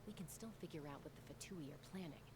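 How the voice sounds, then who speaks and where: An actress playing a confident, level-headed, protective woman scout in a video game, assured and deliberate. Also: sits low, background noise, close to an everyday voice. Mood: confident, firm.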